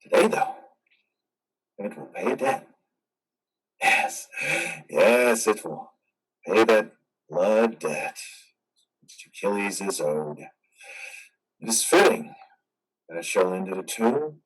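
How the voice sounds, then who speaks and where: A man playing a brooding, guarded, grieving veteran in an amateur stage play reading, with a dramatic, halting delivery. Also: on the low side, lively intonation, slightly rough, recorded from a distance, full character voice, rough recording. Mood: brooding, bitter. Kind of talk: amateur reader's theater over video.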